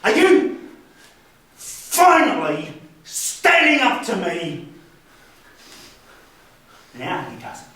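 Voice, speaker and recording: A man acting in a stage play as a cruel, volatile brother who taunts, mocks and erupts into shouting. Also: sits high, full character voice. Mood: menacing, sneering. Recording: very echoey hall, distant mic, faint noise.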